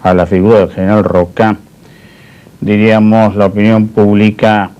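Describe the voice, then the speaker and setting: An older man from Spain, discussing history as an interview guest in a deliberate, discursive, formal way. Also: on the low side, level tone, dark tone, clear, resonant tone, highs cut off. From born in Madrid, Spain; settled in Argentina.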